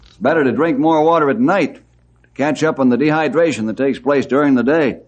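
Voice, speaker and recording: An actor playing a steady, commanding ranger in an old radio western, firm and authoritative. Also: male voice, medium pitch, a little fast, dull audio, close to an everyday voice. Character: steady, commanding male ranger leading desert party. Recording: noisy old radio recording.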